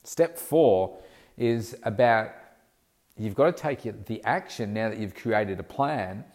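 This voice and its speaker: A man with a somewhat low voice and a snuffly, blocked-nose sound from hay fever. He talks as a personal fitness coach, laying out plans step by step in an easy, conversational way. He fills his pauses with ums and uhs. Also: animated, darkish tone. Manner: conversational, instructive, talks in steps with frequent ums. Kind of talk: casual advice monologue.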